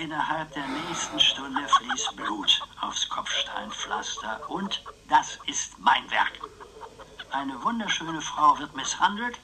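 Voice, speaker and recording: Theatrical old uncle, piercingly bright voice. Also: male voice, on the high side, slight background noise, exaggerated voice, rough recording. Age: 80s. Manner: theatrical, chatty, drawn-out phrases. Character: eccentric, playful, theatrical old uncle, scheming and stubborn. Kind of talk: German radio crime drama acting.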